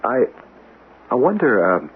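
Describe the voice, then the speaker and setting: An actor playing a courteous, intrigued antiques enthusiast in a radio drama, earnest and somewhat hesitant. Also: male voice, medium pitch, wildly swooping pitch, unhurried, husky, exaggerated voice. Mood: intrigued, wistful. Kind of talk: old-fashioned radio drama acting. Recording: tinny noisy old radio broadcast.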